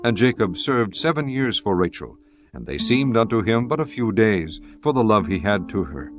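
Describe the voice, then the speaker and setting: Low-voiced male scripture narrator. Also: sing-song. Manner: measured, reverent, archaic diction. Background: guitar music.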